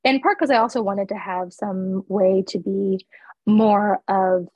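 Husky-voiced woman chatting candidly. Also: mid-range voice, a little slow, a little mellow, no echo. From East Coast of the US. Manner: candid and chatty, with laughs and fillers. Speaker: florist and shop owner. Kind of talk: casual interview chat.

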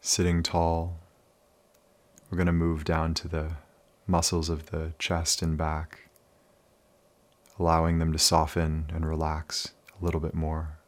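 A man leading a guided meditation in a calm, soothing, unhurried manner with many pauses. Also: extremely low pitch.

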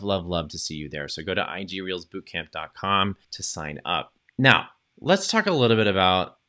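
A man's voice: low voice, a bit bright. A man hosting his own podcast, talking in an upbeat, chatty solo monologue with fillers and self-corrections. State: recovering from lost voice. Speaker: podcast host and social media coach.

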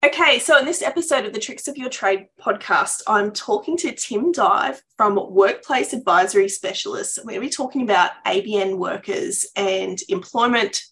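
Woman, podcast host, gravelly voice, friendly chat. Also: medium pitch, moderate pace, hardly any pauses, bright, forceful tone. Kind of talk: casual interview.

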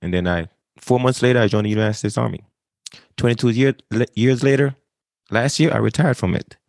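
A man, talking as an interview guest in an energetic way, often repeating and restarting phrases. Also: fairly low pitch, vocal fry, no room sound, studio quality. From Jamaica. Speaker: guest, wisdom coach. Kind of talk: casual interview talk.

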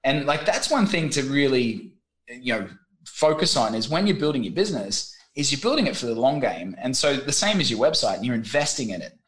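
An Australian man in his thirties chats as a podcast guest. He tells his stories with energy and drops into quick voices to act them out. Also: mid-range voice. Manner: animated storyteller, acts out voices in anecdotes. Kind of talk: casual podcast interview.

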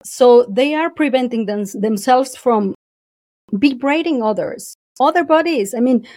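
A woman's voice: on the high side, unhurried, no room sound, clean studio sound. A woman guest in a casual podcast conversation, talking expressively and laughing often. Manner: expressive, laughs often, acts out quoted voices.

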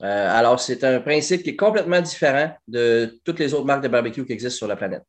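A man in his forties with a Québécois French accent, a guest talking about his barbecue company in a casual interview, fluent and lively with plenty of hesitations. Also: medium pitch, fast talker. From Quebec. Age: 40s. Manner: animated, fluent, frequent "euh" and hesitations.

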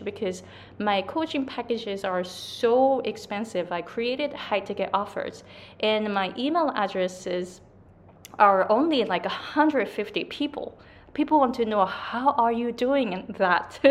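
A woman with a Japanese accent, a native Japanese speaker and English coach, giving chatty business advice to camera and often checking in with "right?". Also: medium pitch. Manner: chatty, repeats "right?" and "like", self-corrections.

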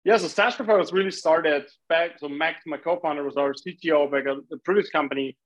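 A man with an Austrian accent and a slightly higher-than-average voice. He talks casually as an interview guest, on a slightly dull, lo-fi recording. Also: a little fast. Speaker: startup founder, podcast guest.

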